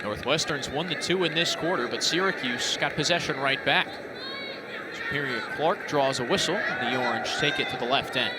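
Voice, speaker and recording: An American man calling live televised sports as a play-by-play commentator, his delivery animated and rising with the action. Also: medium pitch, normal speed. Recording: loud crowd and stadium noise behind.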